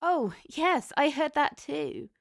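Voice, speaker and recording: A woman's voice: sits high, animated, slow pace, creaky, no room sound, studio quality, full character voice. A woman acting a skeptical, questioning cafe customer in a fantasy audio drama. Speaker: voice actor in a fiction podcast.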